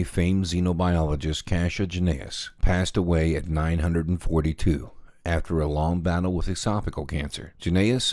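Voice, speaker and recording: A man voicing a news anchor who reads a news item in a measured, formal way. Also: low voice, a little mellow, no room sound, studio quality, lightly acted. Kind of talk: news reading.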